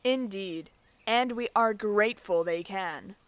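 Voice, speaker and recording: A woman's voice: medium pitch, slow pace, clean tone, dry recording, close to an everyday voice. A woman playing a protective, wry female knight who guards a princess in a fantasy audio drama. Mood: conspiratorial.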